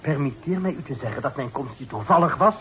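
An actor playing a suave, polite gentleman in a radio play, with courtly, formal speech sprinkled with French. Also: mid-range voice, expressive, fast, lightly acted. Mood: formal, placating. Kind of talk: Dutch radio play acting. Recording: faintly noisy old radio play recording.

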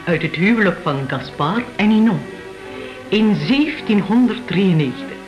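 A woman's voice: medium pitch, lively intonation, moderate pace, lo-fi sound, over music, natural voice. An actress playing an aristocratic lady who tells her family's history in a stately, formal, theatrical way for a radio drama. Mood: solemn storytelling.

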